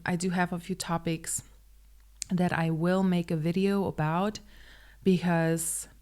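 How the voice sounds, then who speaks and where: A woman's voice: fairly low pitch, clear, resonant tone. A woman in her twenties, a native German speaker, talking earnestly to camera in a casual personal vlog with frequent ums. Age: 20s. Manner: hesitant, many ums, open and earnest. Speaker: vlogger.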